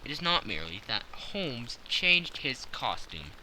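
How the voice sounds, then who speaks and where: A man's voice: mid-range voice, big pitch swings, measured pace, pushed and bright, slight background noise, rough recording. A man reading scripted literary narration as an audiobook narrator.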